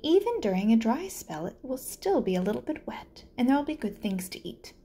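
A woman's voice: fairly high pitch, very animated, a little fast, warm tone, slightly rough. A woman reading a children's story aloud as a learning coach, introducing and narrating it.

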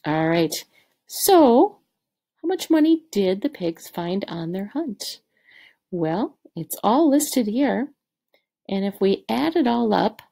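Animated woman reading to children, mellow voice. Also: medium pitch, gravelly, husky. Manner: expressive, instructive, addresses children directly. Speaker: teacher reading aloud to third graders. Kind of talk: animated picture-book read-aloud.

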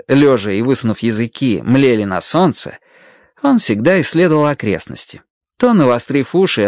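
A man's voice: medium pitch, dry recording. A man narrating a children's adventure story expressively from a script. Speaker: audiobook narrator.

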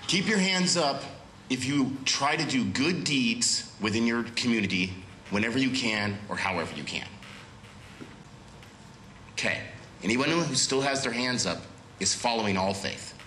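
Nervous, earnest man in his thirties with a cold, slightly nasal, bright low voice, echoey church. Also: moderate pace, a little hiss or hum. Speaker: guest speaker. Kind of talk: church talk with prayer. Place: church sanctuary at a pulpit, indoors. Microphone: far camera with pulpit microphone. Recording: roomy echo of a church hall.

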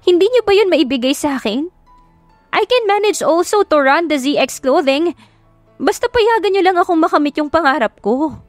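A woman's voice: extremely high pitch, expressive, moderate pace, no room sound, pitched above the performer's own voice. A woman voicing a passionate, rebellious young heiress daughter with a Filipino accent, emphatic and pleading, in an audio drama. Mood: impassioned pleading. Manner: emphatic, pleading, switches languages. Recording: music behind the voice.

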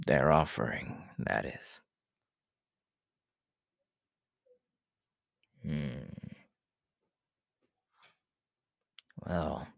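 A man playing a proud, menacing, world-weary demon king in an ASMR roleplay, talking in a deliberate, haughty way that often sinks into breathy whispers. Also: very deep voice, a bit bright, dry recording, clean studio sound, heavily acted. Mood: scheming, guarded. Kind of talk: ASMR audio roleplay acting.

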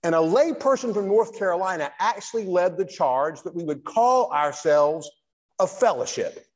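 High-pitched older man lecturing in a reverberant hall. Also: lively intonation, normal speed. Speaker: Baptist pastor, guest lecturer. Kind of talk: church lecture with preacherly delivery. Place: large church hall, speaking at a lectern.

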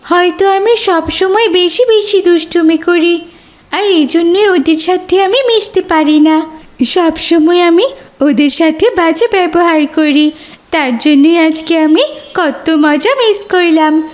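A woman's voice: very high-pitched, slow. A woman voicing an earnest, naughty but remorseful little girl in a children's cartoon. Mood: regretful, reflective.

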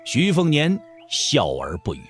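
A man's voice: fairly high pitch, huge pitch swings, measured pace, a bit bright, faint music behind. A man narrating a martial arts novel for an audio drama in a dramatic storytelling style.

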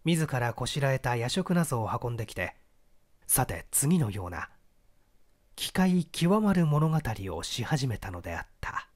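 A man reading literary fiction as scripted audiobook narration in an expressive storytelling manner. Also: mid-range voice, measured pace. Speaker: audiobook reader.

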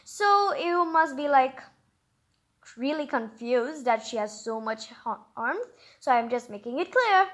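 A woman's voice: sits high, lively intonation, unhurried, a bit bright. A woman hosting a storybook read-aloud, breaking in with chatty, exclaiming asides in an informal way. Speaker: host of a story reading channel.

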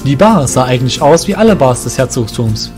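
A man's voice: medium pitch, expressive, brisk, over music. A man reading scripted narration for a fantasy audio drama.

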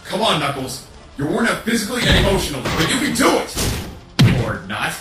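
A man's voice: a little high, sing-song, brisk. A man voicing a secretive, fretful hidden observer muttering to himself in a comic dub. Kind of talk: amateur comic dub voice acting. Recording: roomy, distant, music behind.

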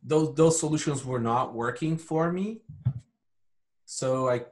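Guy from brazil on a podcast, casually explaining stuff, matter of fact, medium pitch. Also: slow pace. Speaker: podcast guest, hardware company founder.

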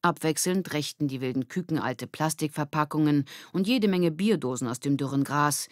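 A woman's voice: deep voice, moderate pace, no room sound, clean studio sound. A woman narrating a scripted children's story as an audiobook reader.

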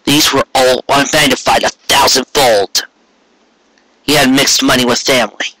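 Male narrator, intense dramatic story reading, medium pitch, dry recording, occasional stumbles. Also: fairly even intonation, unhurried, pushed and bright, dry recording, poor audio. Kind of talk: dramatic reading of fan fiction.

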